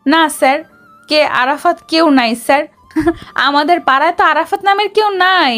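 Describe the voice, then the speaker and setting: A woman's voice: sits high, fairly quick, a bit bright, faint music behind. A woman playing a feisty, sassy young officer in a romantic audio story, with a melodramatic manner. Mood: panicked. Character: feisty, dramatic young woman officer in love with her boss.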